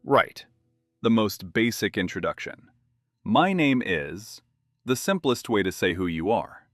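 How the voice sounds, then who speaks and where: A man's voice: fairly low pitch, a little slow, no echo, faint music behind. A British man from London co-hosting an English-learning podcast, telling stories in a dry, playful, joking way. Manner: dry, playful storytelling with lively jokes. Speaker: podcast co-host.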